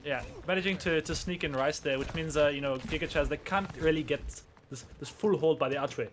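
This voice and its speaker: A man casting a live esports match, with fast, playful, slangy banter. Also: a little high, fairly bright tone, slight background noise. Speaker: esports caster. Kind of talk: live play-by-play commentary.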